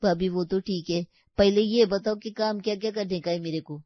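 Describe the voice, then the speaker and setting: A woman's voice: mid-range voice, fairly even intonation, moderate pace, no echo, highs cut off, close to an everyday voice. A woman playing a shrewd, grumbling housemaid who haggles in colloquial, broken grammar in a comedy story. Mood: businesslike. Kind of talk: animated comedy story dialogue.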